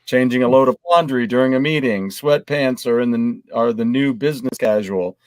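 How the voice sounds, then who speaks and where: Male podcast co-host, mid-range voice, relaxed group-chat delivery with some read-aloud bits, very dry recording. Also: medium pitch, normal speed, dry recording. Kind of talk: casual group chat, reading out items.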